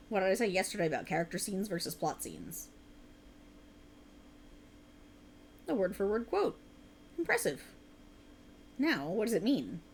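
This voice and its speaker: A woman's voice: medium pitch, a little hiss or hum. A woman acting a sleep-deprived, stubborn writer girlfriend in a conversational ASMR roleplay, with a teasing, dramatic, bantering manner. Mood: suspicious, teasing.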